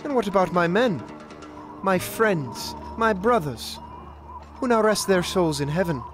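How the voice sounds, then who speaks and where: A man playing a high-pitched, menacing western outlaw. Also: animated, unhurried, music in the background. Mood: cold, taunting. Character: mean, menacing, pious male outlaw gunslinger. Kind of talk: western audio drama acting.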